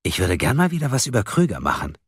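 A man's voice: lowish voice, huge pitch swings, husky, no echo, clean studio sound, voice pushed up, exaggerated voice. A man voicing a restless, argumentative male journalist who speaks impatiently. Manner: impatient, quick to argue.

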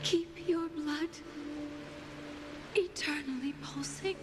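A grieving, tender game mother speaks very slowly in a high voice. Her delivery is breathy and reverent, halting between phrases, with music playing behind her. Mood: tender, solemn. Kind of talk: video game voice acting. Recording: game audio with loud music.

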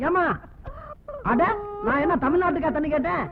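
A man's voice: extremely high pitch, normal speed. An actor playing a nosy male visitor who teases and pries. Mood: pleading. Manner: prying, teasing. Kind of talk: film comedy scene. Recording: tinny, phone-like film audio with music behind.

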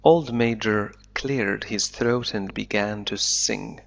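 A man teaching English, reading a novel aloud slowly and deliberately and explaining it line by line, an Arabic speaker. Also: fairly low pitch, gravelly, fairly bright tone. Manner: slow deliberate reading, then quick explanations. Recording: dull lo-fi recording.

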